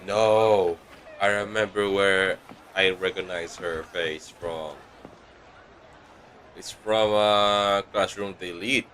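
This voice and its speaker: Male streamer, deep and unhurried, laid-back slangy reactions over faint game ambience. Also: steady tone, a bit bright. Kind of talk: casual live reaction commentary. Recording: faint background noise.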